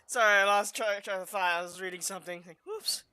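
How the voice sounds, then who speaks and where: A woman's voice: medium pitch, steady tone, a little fast, bright, forceful tone, smooth. A woman streaming a game, giving casual, offhand and wry commentary as she plays. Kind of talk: casual live playthrough commentary.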